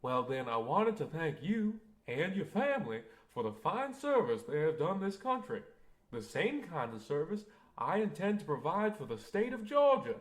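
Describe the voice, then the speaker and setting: Man acting a high, lively folksy peanut farmer. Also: normal speed. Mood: folksy, earnest. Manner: folksy, earnest, polite. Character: earnest, folksy male peanut farmer turned campaigning politician. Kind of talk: old-time radio comedy acting.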